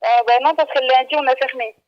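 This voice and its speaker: A woman with a high voice takes an unscripted customer call as a shop employee. She is blunt and a bit flustered, often repeating herself. The phone line makes her sound dull and muffled. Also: fairly even intonation, fast. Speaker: bakery employee. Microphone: telephone.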